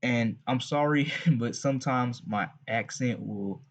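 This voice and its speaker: A man's voice: medium pitch, a little slow. A man with a heavy Texas accent giving loose, rambling religious advice to fathers, with many restarts and asides. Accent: Southern US, Texas. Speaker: religious channel host. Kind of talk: unscripted advice talk. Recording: dull lo-fi recording.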